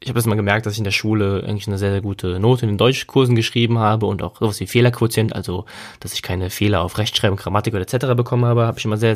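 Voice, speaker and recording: A man from Germany, hosting a solo podcast in a casual, reflective, conversational way and stretching key words for emphasis. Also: fairly low pitch. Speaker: podcast host.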